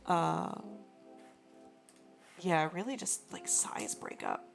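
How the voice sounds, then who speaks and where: A young woman streams her 3D modeling work, thinking aloud at a slow, unhurried pace and greeting viewers as they arrive. Her voice is gravelly and going hoarse, with pitch that rises and falls a lot. Faint music plays underneath. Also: sing-song. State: losing her voice. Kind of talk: casual live-stream chat while 3D modeling.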